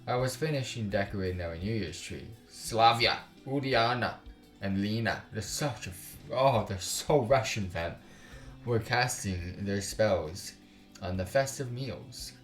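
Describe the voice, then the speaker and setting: A man with a fairly low voice hosts a casual let's play over background music. He reads story text aloud haltingly and breaks off into exasperated, slang-filled commentary. The room can be clearly heard around his voice. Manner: exasperated, informal slang, swearing; halting, stumbling reading. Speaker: gaming YouTuber, let's play host. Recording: roomy sound with music underneath.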